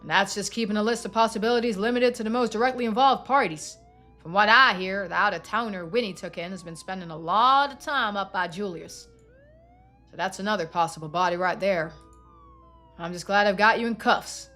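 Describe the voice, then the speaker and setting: A man's voice: high-pitched, fairly quick, bright, forceful tone, soft background music. A woman voicing an exasperated, by-the-book male police deputy in a game, clipped and sarcastic. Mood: stern, exasperated.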